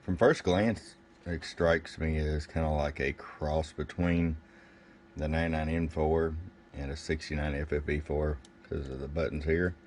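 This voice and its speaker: A man's voice: a bass voice, fairly even intonation, measured pace, a little mellow. A man giving a casual bench review of gear, plain-spoken and thinking aloud as he goes. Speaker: product reviewer. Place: indoor electronics workbench. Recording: faint background noise.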